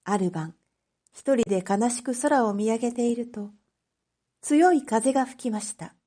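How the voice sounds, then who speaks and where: A woman with a fairly high, very mellow voice narrates a story. She reads at a slow pace, phrasing each line carefully, as a teacher does for language learners. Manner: careful, clearly phrased reading. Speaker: teacher narrating read-aloud material.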